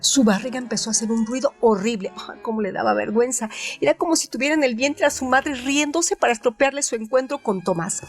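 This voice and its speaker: A woman with a Spanish accent, reading literary prose aloud and then presenting a critical analysis in a measured, expressive way. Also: fairly high pitch. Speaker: narrator and host.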